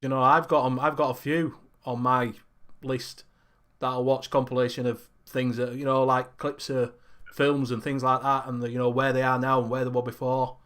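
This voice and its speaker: A man's voice: mid-range voice, level tone, moderate pace, a bit bright. A man hosting a casual online chat show, easygoing and talkative, on a headset. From Northern England. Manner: chatty, easygoing. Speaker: host.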